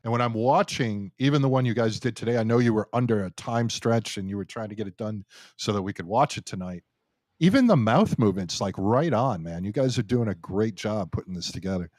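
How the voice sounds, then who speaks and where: A man's voice: on the low side, lively intonation, brisk, darkish tone, dry recording. A man hosting a live online Q&A, loose and casual, swearing and laughing easily. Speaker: host and site founder. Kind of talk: casual live stream chat.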